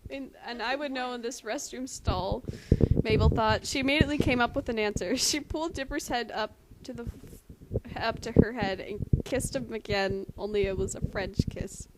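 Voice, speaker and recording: Giggly high-voiced woman reading aloud. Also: moderate pace. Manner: giggly, often breaks into laughter while reading. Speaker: co-reader and commentator. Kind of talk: amateur dramatic reading with casual commentary. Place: at home in a house. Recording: noisy home recording with clicks.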